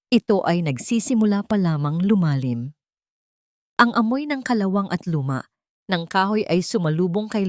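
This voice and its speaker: A woman's voice: fairly low pitch, darkish tone, dry recording, clean studio sound. A woman narrating a scripted story in a dramatic style.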